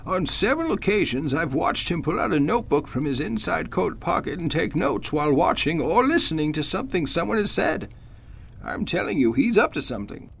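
Man acting high-voiced, gravelly older judge. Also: very animated, fairly quick, full character voice. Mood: suspicious, urgent. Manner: earnest, old-fashioned formal speech. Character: genial but suspicious veteran male judge, gentlemanly. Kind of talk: old-time radio drama acting.

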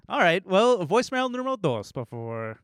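A man's voice: high voice, big pitch swings, moderate pace, a bit bright, no room sound. A man co-hosting a casual comedy podcast, playful and sweary, speaking close to the microphone. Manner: playful, joking, profane. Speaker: podcast co-host.

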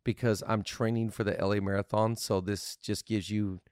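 A man's voice: on the low side, level tone, moderate pace, darkish tone, smooth, dry recording, clean studio sound. A man hosting a casual podcast on leadership, upbeat and playful, laughing easily with his co-host.

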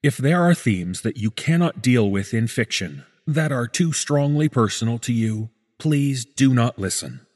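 A man reading scripted narration as a podcast host, in a measured, deliberate way. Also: medium pitch, animated, a little mellow. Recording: music under the voice. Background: background music.

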